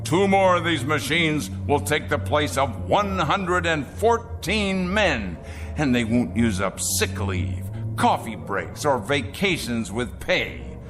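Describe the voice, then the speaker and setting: A man's voice: on the high side, very animated, takes it slowly, music in the background. An actor playing a cold, arrogant factory owner in an audio drama, lecturing pompously about his machines. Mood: smug, enthusiastic. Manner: pompous, lecturing, smooth salesman's patter.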